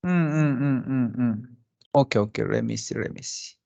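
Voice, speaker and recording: A man speaks in an ordinary mid-range voice as he answers questions in an online webinar. His non-native English is halting and packed with fillers and restarts as he hunts for words. The sound is that of a plain video call. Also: mid-range voice, gravelly, warm tone, dull audio. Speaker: company representative presenting jobs in Japan.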